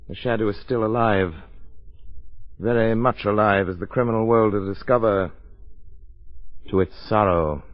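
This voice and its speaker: An actor playing a self-assured crime fighter, wry, urbane and unruffled, in old radio drama style. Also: male voice, on the low side, moderate pace, long pauses, natural voice. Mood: confident. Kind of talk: 1940s radio drama acting. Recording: muffled vintage radio recording.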